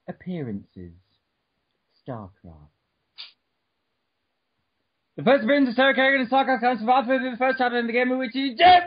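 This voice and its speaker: A man giving a mock-dramatic reading of an article, over the top, bursting into shouts and stumbling over words. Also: extremely high pitch, creaky, dry recording, dull audio. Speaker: reader.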